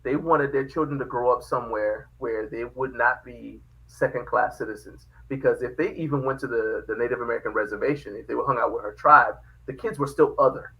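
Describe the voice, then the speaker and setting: A man from Liberia, a podcast guest telling his family history in a lively, casual way, often laughing. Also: medium pitch, fairly quick, vocal fry, hardly any pauses, low-quality recording. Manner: animated, laughs often, quotes dialogue. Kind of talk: casual storytelling.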